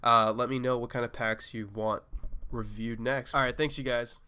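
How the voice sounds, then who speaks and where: A man with a nasal voice from a cold, giving a laid-back, casual review of a sample pack with short excited reactions. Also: medium pitch, moderate pace, smooth. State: sick with a cold. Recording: music playing behind.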